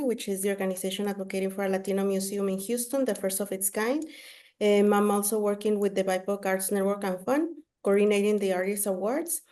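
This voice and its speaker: A woman from Mexico introducing herself on a virtual panel, calm and measured. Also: mid-range voice. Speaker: artist and arts administrator. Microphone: video call.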